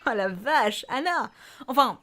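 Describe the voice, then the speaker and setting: A woman's voice: on the high side, expressive, measured pace, sharp tone. Actress as bitter, sarcastic young woman. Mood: surprised, friendly. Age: young adult. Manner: sarcastic, casual, swearing. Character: bitter, sarcastic, foul-mouthed young woman, grieving daughter. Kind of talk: audio drama acting.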